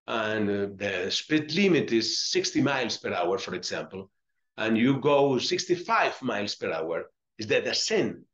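A middle-aged man from Colombia with a Spanish accent, a friar teaching a religious lesson, reading aloud and explaining deliberately, repeating phrases for emphasis. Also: medium pitch, a bit bright, dull audio. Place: small indoor room with curtains.

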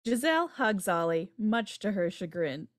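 A woman's voice: medium pitch, sing-song, moderate pace, dry recording, studio quality. A woman reading out stage directions as scripted narration for a table read over a video call. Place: indoor room at home on video call.

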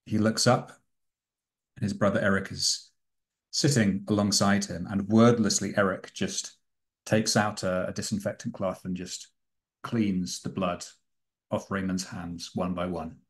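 A man narrating a role-playing scene in a deliberate, theatrical, literary way. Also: low voice, fairly even intonation. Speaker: role-playing game player. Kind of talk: in-character dramatic storytelling. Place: indoor room at home, video call.

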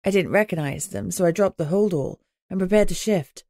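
Female audiobook narrator, lower register, first-person fiction, dry close sound. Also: fairly quick, dark tone, dry recording.

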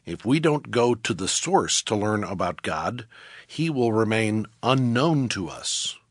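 A man announcing a radio ministry program, reading a scripted, measured script. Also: on the low side, very animated, no room sound, clean studio sound.

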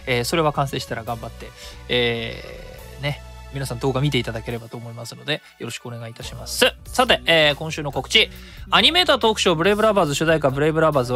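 A man's voice: fairly high pitch, pushed and bright. A man hosting a web radio show solo in a casual, chatty style, often interrupting himself and voicing his own thoughts. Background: background music.

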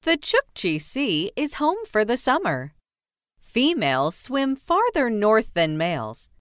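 A woman narrating a children's nonfiction book as a scripted read-aloud, with careful, clearly separated phrasing. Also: on the high side, wildly swooping pitch, slow pace, no room sound, studio quality.

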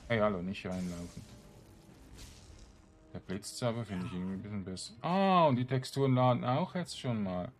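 A man giving casual gameplay commentary, musing aloud to himself in short, halting remarks. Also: fairly low pitch, animated, soft background music. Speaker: gamer, let's play host.

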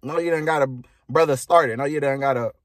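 A man's voice: fairly high pitch, a little fast, no room sound. A young American man chatting casually to camera in a playful, boastful, slangy way. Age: young adult. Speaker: vlogger. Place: inside a parked car, later a bedroom. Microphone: phone at arm's length.

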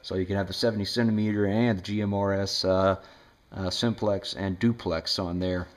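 A man's voice: sits low, fairly even intonation, moderate pace, a little hiss or hum. A man chatting casually over two-way radio as an amateur operator. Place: indoors at home beside a mobile radio.

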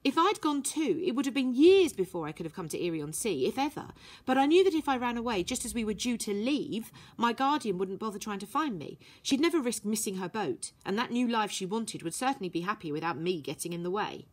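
An earnest young runaway girl as a woman reader puts her on speaks in a high, excitable voice that leaps in pitch. She sounds breathless and emphatic. The tone has a slight huskiness under the brightness. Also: a little fast, lightly acted. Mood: eager.

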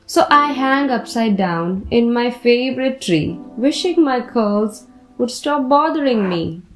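A woman reads a children's storybook aloud in a fairly high voice, taking her time over each phrase. Her delivery is deliberate and expressive, with music behind her. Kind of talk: expressive picture book read-aloud for children.

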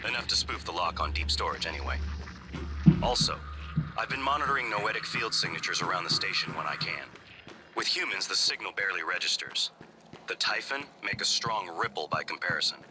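A man's voice: sits low, expressive, brisk, rough recording. A man playing a businesslike, analytical helper aboard a space station in a video game. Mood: measured, informative.

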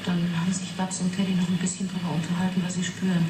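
An actress playing a matter-of-fact yet fascinated witness in a German radio play, halting and reflective. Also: female voice, on the low side, completely flat delivery, natural voice. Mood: recounting, thoughtful. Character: young woman witness recounting an uncanny experiment, matter-of-fact yet fascinated. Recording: roomy 1980s radio play, noisy background.

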